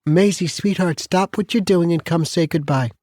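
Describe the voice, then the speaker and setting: A man's voice: on the high side, normal speed, darkish tone, no room sound, clean studio sound, pitched above the performer's own voice, lightly acted. A man voicing a firm older grandmother in an audiobook story. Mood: bossy coaxing.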